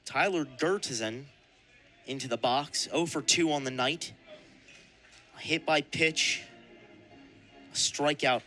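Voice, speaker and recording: Bright-voiced young male baseball announcer. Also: a little high, slow, pauses often, soft background music. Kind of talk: live radio sports commentary.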